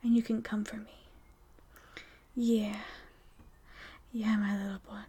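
A woman speaking in a soft, teasing whisper for an intimate audio roleplay, slow and coaxing. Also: mid-range voice, little pitch movement, breathy edge.

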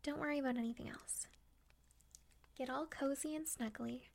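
Woman, high voice, touches of creak, breathy whispering, affectionate, intimate partner roleplay. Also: fairly even intonation, normal speed. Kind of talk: intimate erotic audio roleplay, addressing a partner.

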